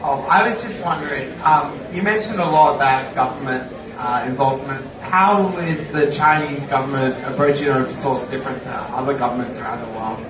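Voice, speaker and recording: A man's voice: mid-range voice, a little fast, hardly any pauses, crowd noise. Polite audience questioner, man, heard far off in a roomy, crowded hall. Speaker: audience member asking a question. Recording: echoey hall, distant mic, audience around.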